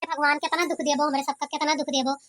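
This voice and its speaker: A woman's voice: sits high, level tone, fast, a bit bright, slight background noise. A woman voicing a protective, anguished village mother in an Awadhi cartoon, with an Awadhi accent and a plaintive, scolding manner. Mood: sorrowful lament. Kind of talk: cartoon comedy voice acting.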